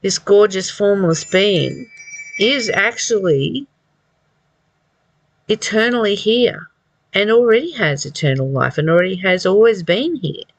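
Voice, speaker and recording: A person reading a spiritual text aloud and commenting on it informally, in a reflective, halting way that often repeats phrases. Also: mid-range voice, lively intonation, dry recording, low-quality recording. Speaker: reader and commentator of a spiritual study.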